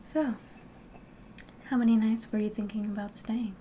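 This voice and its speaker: A woman playing a friendly, curious innkeeper in an ASMR roleplay, speaking softly and politely, often slipping into a whisper. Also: fairly high pitch, fairly even intonation, slight background noise, lightly acted. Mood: welcoming, curious. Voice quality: soft, breathy, often whispering. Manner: gentle, unhurried, polite, hospitable. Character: friendly, curious female innkeeper of a fantasy tavern.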